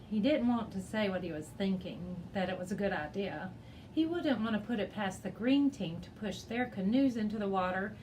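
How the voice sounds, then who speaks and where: Female teacher for an expressive children's chapter-book read, medium pitch, some noise behind. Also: low-quality recording. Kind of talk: expressive storybook read-aloud.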